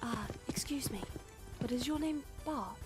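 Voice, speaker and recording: A woman's voice: high-pitched, normal speed, over music, close to an everyday voice. A woman playing an earnest, discreet young nun working as an informant in a radio play. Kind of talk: radio play acting, fan production.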